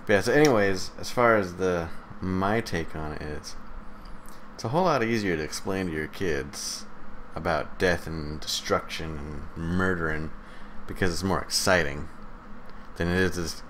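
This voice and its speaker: A man's voice: sits low, moderate pace, fairly bright tone, a little hiss or hum, poor audio. A man co-hosting a podcast in casual chat, with a teasing manner. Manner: teasing, chuckling often. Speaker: podcast co-host.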